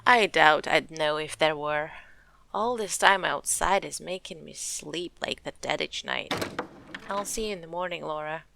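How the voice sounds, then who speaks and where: A woman acting a matter-of-fact, gently teasing young believer in ghosts in an audio drama. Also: deep voice, unhurried, creaky, full character voice. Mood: tired.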